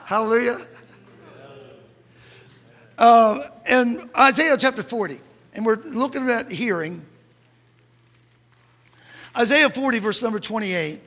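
High-voiced fervent preacher man, gravelly edge. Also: slow pace, long pauses. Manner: fervent preaching, rising to shouts, reads scripture aloud. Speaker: pastor. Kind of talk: sermon. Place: church sanctuary. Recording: long church hall reverb.

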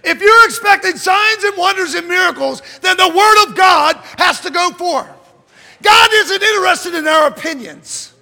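A middle-aged man preaching a sermon in a church, reading scripture and rising into fervent shouts. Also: very high-pitched, moderate pace, vocal fry. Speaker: pastor. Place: church sanctuary. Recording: long church hall reverb.

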